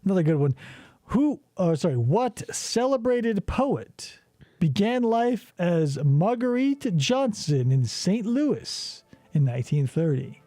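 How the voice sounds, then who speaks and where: A man hosts a comedic game show, rambling off on sarcastic, profane tangents. His voice sits high and swings widely in pitch, yet the tone stays soft and mellow. The recording is dry and close, with faint music underneath. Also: very animated, slow pace, no room sound.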